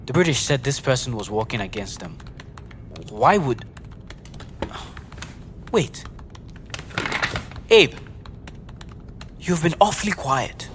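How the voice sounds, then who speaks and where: An actor playing a grave, watchful male mission strategist, serious and deliberate, in an audio drama. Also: mid-range voice, big pitch swings, background noise. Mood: suspicious.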